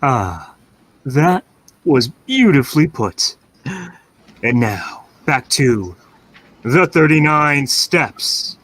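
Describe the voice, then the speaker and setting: A suave announcer of a 1930s suspense radio play, played by a man. He narrates at a very measured, slow pace in a mid-range voice, recorded dry and close. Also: mid-range voice, sing-song, a bit bright, dry recording, heavily acted. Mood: suave, solemn.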